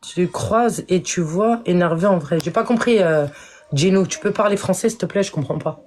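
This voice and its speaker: A woman's voice: lowish voice, moderate pace. A woman from France ranting on a live stream, scolding her commenters in an indignant, confrontational way. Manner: indignant, confrontational, swings between shouting and muttering; addresses viewers directly, scolds commenters.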